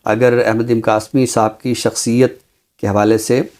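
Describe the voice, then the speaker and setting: A man with a Pakistani accent presenting a literary book review in a measured, scholarly, deliberate way. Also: mid-range voice, little pitch movement, a little mellow. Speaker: literary guest presenter introducing books.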